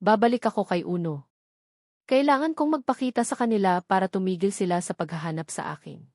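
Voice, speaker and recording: A woman's voice: medium pitch, lively intonation, fairly quick, a little mellow, no echo, clean studio sound. A woman voicing an anxious, devoted mother with an earnest, tender, pleading manner in a dramatized story. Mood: resolute. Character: anxious, devoted, self-sacrificing young mother. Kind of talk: dramatized novel narration.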